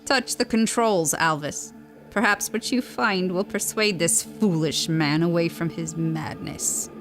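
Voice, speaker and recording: An actress playing a grave, defiant survivor of a lost civilization in an audio drama, giving solemn, forceful warnings. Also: female voice, lowish voice, measured pace, over music. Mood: urgent, pleading. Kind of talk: scripted audio drama acting.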